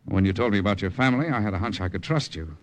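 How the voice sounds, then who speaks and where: An actor playing a calm American undercover agent in 1940s radio style, measured, confiding and reassuring. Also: male voice, fairly low pitch, close to an everyday voice. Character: calm, steady American undercover spy, trusting and persuasive.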